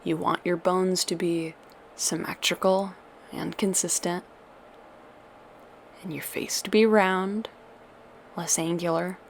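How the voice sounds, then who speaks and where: A woman's voice: fairly low pitch, steady tone, takes it slowly, vocal fry, pauses often, a little hiss or hum. A woman playing a tender, doting witch girlfriend who soothes a monster lover with old-fashioned endearments in an ASMR audio roleplay. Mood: gentle questioning.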